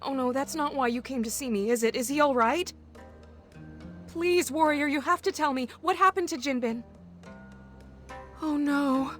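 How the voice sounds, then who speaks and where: Woman acting an earnest, high-voiced young villager. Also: normal speed, long pauses, soft background music, close to an everyday voice. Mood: worried, pleading. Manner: earnest, pleading. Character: anxious, gentle young village woman worried for her brother. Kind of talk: video game character acting.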